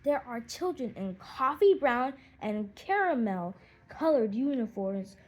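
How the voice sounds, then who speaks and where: Husky, higher-voiced woman narrating a children's story. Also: sing-song, unhurried, a little mellow. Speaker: audiobook narrator.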